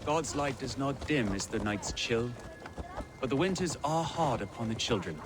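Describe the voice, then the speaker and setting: Male actor as pious young villager, video game, sour, disdainful, lively intonation, noisy ambience. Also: mid-range voice, normal speed, lightly acted. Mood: sanctimonious. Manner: sardonic, sanctimonious. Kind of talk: video game cutscene acting.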